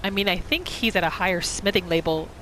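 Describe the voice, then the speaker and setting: A woman's voice: on the low side, brisk, a bit bright, slight background noise, low-quality recording. A woman narrating her video game roleplay in the third person, telling the story of her character's thoughts as she plays. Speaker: gaming roleplay host. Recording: music behind the voice. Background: game music.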